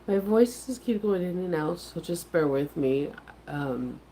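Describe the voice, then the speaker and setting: A woman's voice: on the low side, lively intonation, takes it slowly, warm tone, slight background noise. A middle-aged woman with a head cold, sounding congested as she chats casually to her viewers in a rambling, filler-heavy way. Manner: rambling, chatty, many fillers. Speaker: vlogger and craft vendor. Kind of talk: casual morning chat to viewers.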